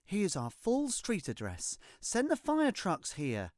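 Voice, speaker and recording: A storyteller voicing a calm, composed storybook mum who speaks clearly on an emergency call. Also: mid-range voice, takes it slowly, dry recording, clean studio sound, pitched above the performer's own voice. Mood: calm, firm. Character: calm, clear mum phoning the fire brigade.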